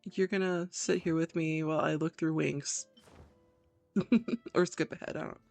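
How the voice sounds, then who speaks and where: A woman's voice: deep voice, steady tone, a little slow, creaky, darkish tone, faint music behind. A woman from the Virginia mountains giving chatty, casual playthrough commentary with joking asides to viewers. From Virginia, Appalachian Mountains, US. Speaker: gaming video host.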